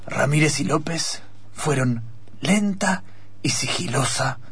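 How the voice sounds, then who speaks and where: A man's voice: fairly high pitch, animated, measured pace, a bit bright, husky, dry recording. A man with an Argentine accent narrating an improvised radio serial in a dramatic, suspenseful style. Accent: Argentine, Rioplatense.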